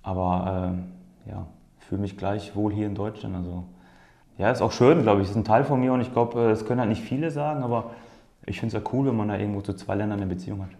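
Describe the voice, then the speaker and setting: A man's voice: on the low side, steady tone, quick pace, gravelly, mellow. A man who is a native Polish speaker, answering interview questions casually as a footballer, often searching for words and repeating himself. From born in Poland, grew up in Germany's Ruhr area. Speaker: professional footballer being interviewed. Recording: roomy, echoing sound.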